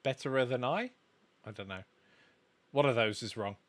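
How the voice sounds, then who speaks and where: A man co-hosting a casual live stream, explaining things in a halting way. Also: medium pitch, fairly bright tone. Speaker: livestream co-host, game development course maker.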